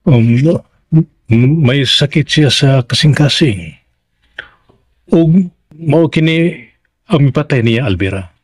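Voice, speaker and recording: An actor playing a gentle, grieving widowed master of the house in a Filipino radio drama, halting and sentimental, with a Visayan accent. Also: male voice, medium pitch, lively intonation, husky, no room sound. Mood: grieving, confiding. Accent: Visayan Philippine.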